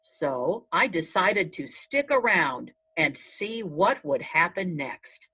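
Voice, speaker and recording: A woman narrating a children's storybook aloud in the first person, in a measured storytelling manner. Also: fairly low pitch, big pitch swings, sharp tone, slightly rough. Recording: dull, lo-fi sound.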